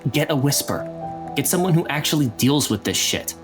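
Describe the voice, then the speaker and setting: A man's voice: a little high, animated, brisk, music in the background, natural voice. A man voicing a jaded woman ex-ghost-hunter in a tabletop game, curt, sardonic and profane.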